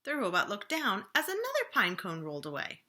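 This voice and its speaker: A woman reading a children's storybook aloud as a librarian would, expressively, with dramatic pauses and slower, hushed phrasing for suspense. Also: medium pitch, huge pitch swings.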